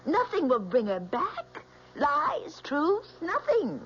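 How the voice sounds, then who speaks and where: Anxious young daughter, earnest and stubborn, highly expressive, dull old radio drama recording. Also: female voice, slow, full character voice. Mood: pleading, defensive. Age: young adult. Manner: hesitant, earnest. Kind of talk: 1970s radio drama acting. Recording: dull old radio broadcast, faint hum.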